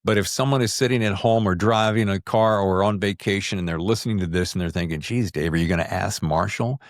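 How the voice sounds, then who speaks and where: A man with a lowish voice hosts a casual interview show. He talks informally, with a bantering, chatty way about him. The sound is very dry, with no room on it. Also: a little fast, dry recording. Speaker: podcast host. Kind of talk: casual conversational book interview.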